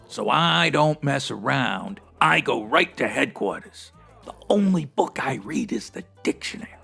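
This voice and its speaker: A man playing a slangy, wordy strip club manager with a raspy, theatrical delivery, in a comedic old-time radio detective style. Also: mid-range voice, expressive, a little slow, sharp tone, soft background music, exaggerated voice. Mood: chatty, pleased. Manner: hipster slang with florid big words, theatrical. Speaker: actor in a comedy audio drama.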